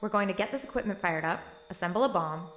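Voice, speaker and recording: A woman's voice: mid-range voice, fast talker, fairly bright tone, close to an everyday voice. An actress playing a determined, matter-of-fact young woman in an audio drama, dry and slightly exasperated. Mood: dry, resolute. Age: young adult. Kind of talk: naturalistic audio drama acting. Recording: roomy echo with music behind.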